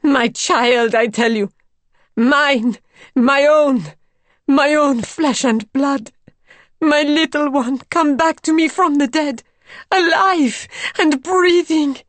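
Woman acting a fierce, grief-crazed mother, loud and high. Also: slow, dry recording. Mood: desperate yearning. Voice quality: harsh. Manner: fierce, impassioned cries. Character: grief-crazed mother, harsh and remorseless, demanding her child. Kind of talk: dramatic audiobook reading.

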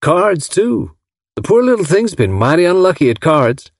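A man voicing a wealthy, folksy gentleman from the South, talking effusively. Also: high-pitched, big pitch swings, normal speed, vocal fry, no room sound, studio quality, pitched above the performer's own voice, heavily acted. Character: wealthy Alabama gentleman, gushing and admiring.

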